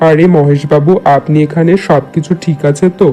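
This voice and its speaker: A man voices a courteous, frank landlord from a cartoon village tale. His voice is mellow and very even, pitched a little high. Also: normal speed, soft background music, natural voice. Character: courteous landlord, adoptive father, frank and polite. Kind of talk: Bengali moral-tale cartoon dubbing.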